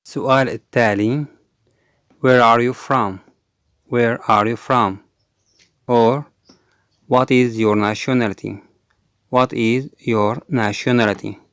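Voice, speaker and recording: A clear-voiced man drilling language phrases. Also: mid-range voice, steady tone, a bit bright, no room sound, clean studio sound. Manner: says each English phrase twice, slowly and clearly; explains quickly in Arabic between examples. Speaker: English teacher. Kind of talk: language lesson.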